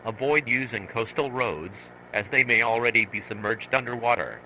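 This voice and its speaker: A man's voice: mid-range voice, moderate pace, pushed and bright, slight background noise, rough recording. A man's synthetic text-to-speech voice delivering a scripted emergency broadcast in a formal, authoritative manner. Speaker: emergency alert announcer.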